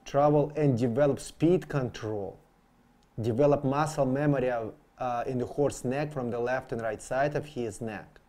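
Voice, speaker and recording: A man with a soft-edged, mellow voice teaches horse training in English that is not his first language. His grammar is non-native, and he repeats important terms for emphasis as he explains his method step by step. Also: medium pitch, normal speed. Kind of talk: instructional explanation.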